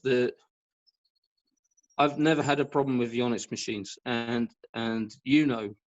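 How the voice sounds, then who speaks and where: A man speaking as an expert guest in an informal discussion on a video call, halting and repeating words for emphasis. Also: medium pitch. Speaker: guest expert, professional badminton racket stringer. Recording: dull lo-fi video call audio.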